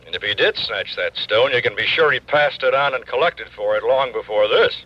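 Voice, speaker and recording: An American man playing an excitable, sarcastic New York police lieutenant in a 1950s radio drama, grumbling in exasperation. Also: mid-range voice, a little fast, no echo, lightly acted. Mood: exasperated. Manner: animated, grumbling, sardonic. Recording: dull vintage radio recording.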